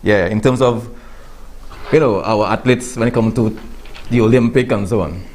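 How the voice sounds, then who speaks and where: A man's voice: lowish voice, normal speed, darkish tone, slight background noise. A man hosting a studio sports talk show, chatty and laughing, often repeating words as he introduces his guest.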